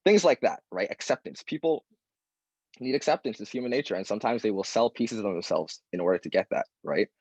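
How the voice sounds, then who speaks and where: A young man speaking at an open mic panel, talking earnestly and directly and quoting people in lively voices. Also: fairly low pitch, a little fast, husky, no room sound, lo-fi sound. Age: young adult.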